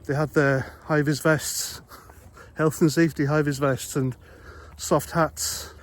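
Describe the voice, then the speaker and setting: A man's voice: on the high side, little pitch movement, takes it slowly. A man from Wales with a Welsh accent, an ex-army vlogger giving a blunt, conversational opinion monologue full of rhetorical questions.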